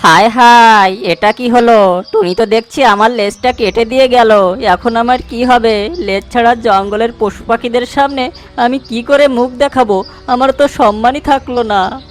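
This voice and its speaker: A woman voicing a sly, greedy, bullying fox in an animated moral story, with a threatening, taunting manner. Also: fairly high pitch, unhurried, soft background music, close to an everyday voice. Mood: wailing, dismayed.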